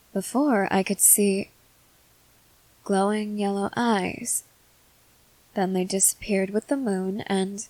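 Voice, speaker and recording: A woman playing a composed, inquisitive young female soldier in an ASMR roleplay, speaking formally in measured, deliberate phrases. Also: medium pitch, a little mellow, no echo, rough recording. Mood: cool, probing. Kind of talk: scripted ASMR roleplay, acted to the listener.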